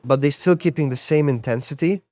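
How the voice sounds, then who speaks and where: A man's voice: a little high, fairly quick. A young adult man born in Poland, a sports therapist and MMA coach, talking casually as an interview guest and often repeating words as he thinks. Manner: relaxed, repeats words while finding them.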